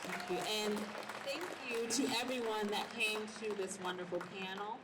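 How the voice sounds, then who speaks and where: A woman's voice: mid-range voice, moderate pace, over a noisy crowd. A woman moderating a live convention panel as an enthusiastic fan, speaking to guests and audience. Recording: distant noisy convention hall microphone.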